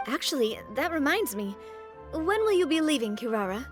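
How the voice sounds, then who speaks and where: A woman's voice: sits high, sing-song, moderate pace. A woman playing a spirited, generous young lady boss in a video game, breezy and sociable. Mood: friendly, teasing. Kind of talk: video game character acting. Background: background music.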